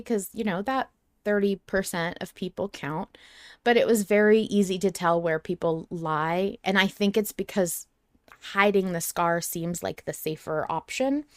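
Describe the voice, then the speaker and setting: A woman's voice: mid-range voice, a little slow, smooth, no echo, clean studio sound. A woman co-hosting a fan podcast in a casual, informal discussion style, swearing freely. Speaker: podcast co-host.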